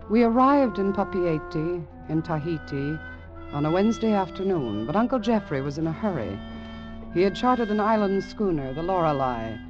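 An actor playing a grave man reading a letter aloud, in measured, emphatic mid-century radio drama narration. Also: a little high, natural voice. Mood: rueful, measured. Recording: muffled old radio recording. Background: music.

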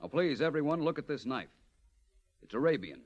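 An actor playing an urbane, self-assured gentleman detective in a 1940s radio mystery, polished and wry. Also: fairly high pitch, sing-song, brisk, dull audio. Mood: theatrical, deliberate.

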